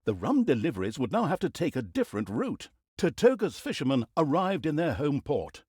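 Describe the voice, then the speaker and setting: Hammy game narrator for an evil overlord story, sardonic and pompous, super animated pitch, close dry studio sound. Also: male voice, fairly quick, husky, no room sound. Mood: wry, dramatic. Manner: mock-grand, sardonic, hammy. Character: sardonic mock-grand storyteller of a villain's exploits. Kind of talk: theatrical scripted storytelling narration.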